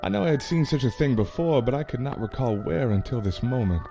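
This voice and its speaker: An actor playing a plain-spoken, gallant adventurer in a radio play, with a folksy, courteous way of telling things. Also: male voice, medium pitch, expressive, fairly quick, over music, close to an everyday voice. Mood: earnest.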